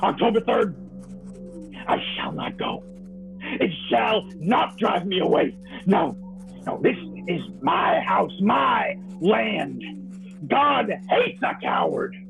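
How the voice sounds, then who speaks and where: A male actor playing a haunted, sleepless man who fears for his sanity, in a halting, theatrical old-time radio drama monologue. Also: high voice, very animated, creaky, a bit bright, heavily acted. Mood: defiant. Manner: theatrical, halting, archaic literary diction. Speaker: actor in a live audio drama. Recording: thin phone-like audio with faint music.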